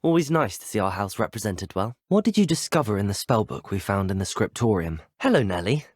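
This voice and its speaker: Polite, expressive British schoolboy hero. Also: male voice, on the low side, a little fast, no room sound, clean studio sound. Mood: curious, friendly. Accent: British English. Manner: polite, articulate, well-spoken. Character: earnest, polite teenage boy student at a wizarding school. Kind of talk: video game dialogue acting.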